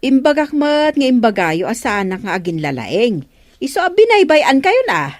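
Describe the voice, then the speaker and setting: A woman's voice: fairly high pitch, big pitch swings, normal speed. A frank village hall official with an Ilocano accent, in a radio comedy. She is blunt and reproachful, and her voice is recorded very dry. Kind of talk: broadcast radio comedy drama acting.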